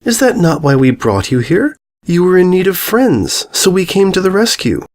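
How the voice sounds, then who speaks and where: A man's voice: sits high, big pitch swings, moderate pace, pitched above the performer's own voice. A man voicing a regal female pony princess in a storybook, stern yet caring, with formal, stately phrasing. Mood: gently indignant.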